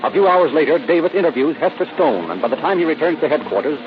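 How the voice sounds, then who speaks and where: A man's voice: on the high side, lively intonation, fast talker. A man narrating an old radio crime drama in a dramatic scripted style. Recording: muffled old radio broadcast. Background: music.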